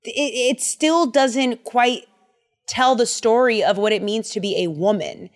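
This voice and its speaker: Brief: young woman podcast co-host, lively and playful, a bit high. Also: unhurried. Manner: animated, playful, breaks into bits and voices. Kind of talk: casual chat.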